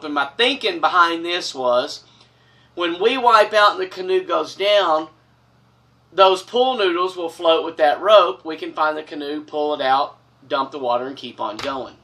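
A man's voice: on the high side, recorded from a distance. A man, telling a personal story casually and gesturing broadly from a couch in a small wood-paneled room. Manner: animated, gestures broadly with both hands. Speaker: storyteller host.

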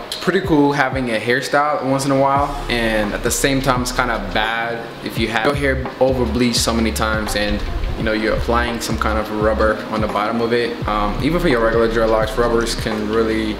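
A young man from Ethiopia talking casually about his hair in a relaxed, rambling vlog. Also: medium pitch, echoey room, background noise. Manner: relaxed, conversational, rambling with fillers. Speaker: vlogger. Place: small indoor room.

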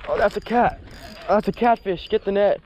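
A young man, excitedly vlogging while fishing from a bridge over a river. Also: high voice, a little fast, background noise. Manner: excitable, repeats exclamations. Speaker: fishing channel host.

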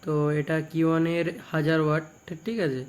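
Man, West Bengal Bengali accent, slightly high voice, monotone, repetitive short phrases, technician's casual video narration. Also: brisk. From West Bengal, India. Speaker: speaker repair technician and video presenter. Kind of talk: casual product showcase narration.

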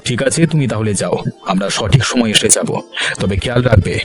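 A man's voice: lowish voice, expressive, normal speed, natural voice. A man voicing a stern, authoritative village police officer in a cartoon. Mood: official, conspiring. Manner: authoritative, giving orders. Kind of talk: cartoon voice acting.

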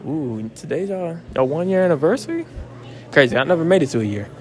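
A man's voice: a little high, animated, fast talker. A young man hosting casual street interviews outdoors, joking and teasing in slangy talk.

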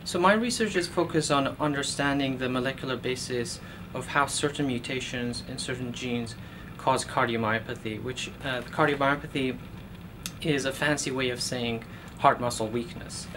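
Man, 30s, from Iran, earnest, hesitant fillers, lapel mic, lab office, steady room hum. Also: mid-range voice, normal speed. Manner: earnest, thoughtful, frequent fillers and restarts. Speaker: postdoctoral researcher. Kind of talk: documentary interview. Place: office cubicle in a research lab.

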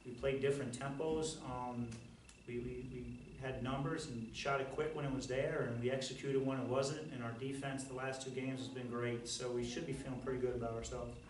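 A middle-aged man, a basketball coach, answering press questions in a halting way with many fillers and restarts. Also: medium pitch, steady tone. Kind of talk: post-game press conference answers. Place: press conference room. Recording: roomy, distant, faint noise.

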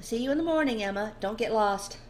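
A woman's voice: a little high, animated, fairly quick, voice pushed up, close to an everyday voice. A woman voicing a tired, caring female colleague in a storybook reading. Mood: tired, friendly. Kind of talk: storybook character dialogue. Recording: faint background noise.

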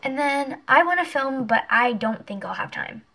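A woman's voice: high voice, animated, moderate pace, pushed and bright, poor audio. A teenage girl with a stuffy nose, chatting casually and rambling to her webcam in a small bedroom, sometimes dropping to a whisper. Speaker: vlogger. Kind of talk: casual vlog chat. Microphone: webcam on a desk lamp.

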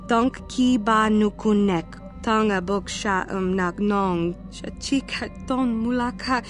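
A woman's voice: medium pitch, close to an everyday voice. An actress playing a stern alien warrior woman who speaks an invented alien tongue in video game dialogue. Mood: insistent.

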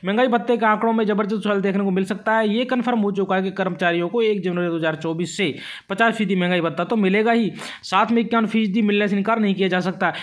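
A man presenting scripted news narration for a channel, with an emphatic, announcing delivery. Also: sits high.

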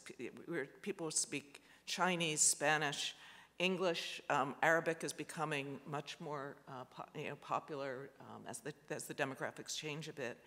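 A man with a fairly high, bright and gravelly voice presents at a panel, halting and full of fillers and restarts. He sounds like he's in a large auditorium with a long reverb tail. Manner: hesitant, many fillers and restarts. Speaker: architect. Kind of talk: panel talk presenting slides.

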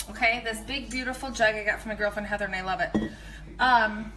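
A pregnant woman in her thirties, a nutrition coach, chatting casually and rambling through meal prep tips in her home kitchen, filmed on a phone held at arm's length. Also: a little high. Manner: chatty, rambling, animated asides. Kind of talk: casual live video tips.

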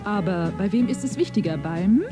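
A woman plays a quizzing interviewer in a seventies German radio play, with music behind her. Her voice is mid-pitched, and she leaves her questions hanging for the answer. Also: animated, normal speed, lightly acted. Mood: prompting. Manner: prompting, leaves questions open. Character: quizzing female interviewer prompting one-word answers. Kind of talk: stylised 1970s German radio play acting.

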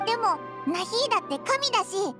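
A woman voicing a small, cheeky, excitable fairy companion in an anime game, with a squeaky childlike voice and blunt boyish speech. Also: moderate pace. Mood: puzzled, blunt. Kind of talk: Japanese anime game voice acting. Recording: game music behind.

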